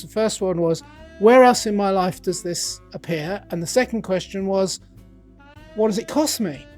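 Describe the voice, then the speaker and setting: A middle-aged man from England with a British accent, a coach telling his story as a guest in a conversational interview, animated and playing out his own quoted thoughts. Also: high-pitched. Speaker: guest, accountability coach and author.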